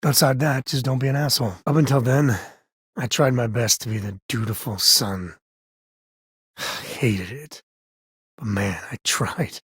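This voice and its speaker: An actor voicing an easygoing space-western ranger and devoted father in a video game, with a husky voice and a folksy, wry, conversational manner. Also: medium pitch, a little slow, long pauses, fairly bright tone, no room sound, studio quality. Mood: alert, coaxing, then subdued, rueful. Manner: folksy, wry, casual drawl of phrasing.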